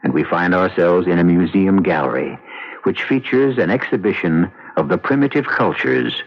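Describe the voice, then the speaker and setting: A man hosting a radio mystery series, narrating from a script in a measured, theatrical manner. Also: low-pitched, animated, slight background noise. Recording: muffled vintage radio, music behind.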